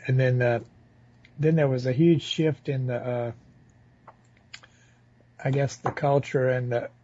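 Husky, dark-voiced American man. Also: mid-range voice, fairly even intonation. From southern Louisiana, United States. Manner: halting, rambling, informal. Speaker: interviewee. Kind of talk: casual spoken recollection. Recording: slightly dull, lo-fi interview recording.

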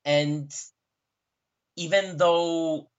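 A Polish man with a Polish accent answers interview questions at an unhurried pace. He thinks aloud, pauses often, and corrects himself mid-sentence. The recording is muffled and lo-fi. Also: fairly high pitch, steady tone, clear, resonant tone. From Poland. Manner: thoughtful, frequent pauses and self-corrections. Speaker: interview guest who works with migrants. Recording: dull lo-fi recording.

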